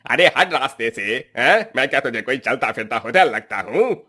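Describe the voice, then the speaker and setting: A man voicing a rude cartoon passer-by, gruff and dismissive. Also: mid-range voice, expressive, moderate pace, sharp tone. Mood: annoyed. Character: irritable, rude male passer-by.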